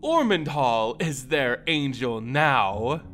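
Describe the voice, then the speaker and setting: A man voicing a gloating, cruel female vampire lord in a slow, theatrical fantasy story reading. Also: medium pitch, animated, fairly bright tone, faint music behind. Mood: mocking. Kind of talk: dramatic fantasy audiobook character voice.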